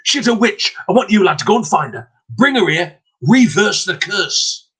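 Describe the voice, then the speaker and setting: A man voicing a panicked, cursed peddler, shouting in desperation. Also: sits high, sing-song, moderate pace, breathy edge, pitched above the performer's own voice, heavily acted. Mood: desperate. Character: cursed middle-aged male peddler, frantic.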